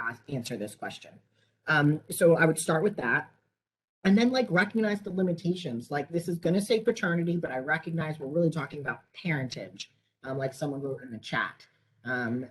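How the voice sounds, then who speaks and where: A woman's voice: low-pitched, husky, low-quality recording. A woman trainer on a conference call, explaining and answering questions informally.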